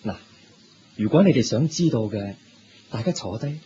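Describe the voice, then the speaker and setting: Guy playing a calm kindly buddhist sage, soft dark tone, hong kong cantonese radio drama, lo-fi with faint noise. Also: mid-range voice, moderate pace, close to an everyday voice. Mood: kindly, serene.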